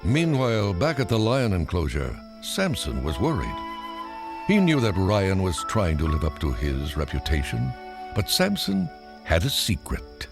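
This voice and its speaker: A male narrator tells a children's story in a read-along style. His delivery swings expressively up and down, with clear music playing behind him. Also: deep voice, wildly swooping pitch, measured pace.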